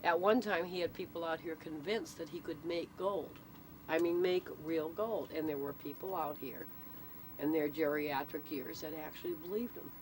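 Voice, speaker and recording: A woman's voice: deep voice, moderate pace. A woman explaining things in casual storytelling, matter-of-fact and checking that the listener follows. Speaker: interviewee, local storyteller. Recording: noisy amateur recording with vehicle ambience.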